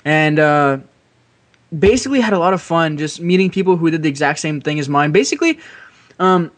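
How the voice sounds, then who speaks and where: Higher-voiced man from Quebec, halting and slangy. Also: lively intonation. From Quebec, Canada. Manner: halting, repeats words, slangy. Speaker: podcast co-host, gaming YouTuber. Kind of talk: casual chat.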